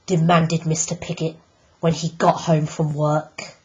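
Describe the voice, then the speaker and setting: A woman teacher reading a picture book aloud, slow and deliberate with emphatic pauses. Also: low voice, flat delivery, muffled recording. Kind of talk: storybook read-aloud.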